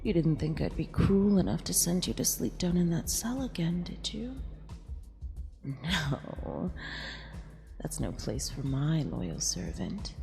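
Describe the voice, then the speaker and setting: A woman playing a mean, possessive eldritch empress in a half-whispered ASMR roleplay, condescending yet affectionate, with a breathy, creaky delivery. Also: deep voice, sing-song, a little mellow, full character voice. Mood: possessive, soothing. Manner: slow, deliberate, condescending purr. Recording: long reverb with music underneath.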